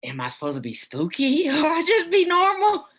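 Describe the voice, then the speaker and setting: A woman's voice: high-pitched, huge pitch swings, moderate pace, a bit bright. A woman actor in a casual discussion on a video call, informal and searching as she thinks aloud. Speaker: actor at a table read. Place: indoors on a virtual meeting.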